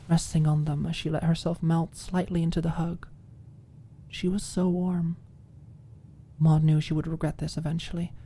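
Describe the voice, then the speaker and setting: Woman reading a dramatic asmr audiobook, really low soft mellow voice, breathy, almost whispery at times. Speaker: audiobook narrator.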